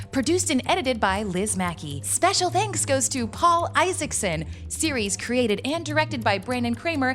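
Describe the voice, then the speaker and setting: Sassy fantasy gal, higher-pitched, very lively intonation, boastful, impatient, credits reading, music behind. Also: female voice. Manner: sassy, exasperated, boastful, casual asides. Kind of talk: in-character audio drama credits reading.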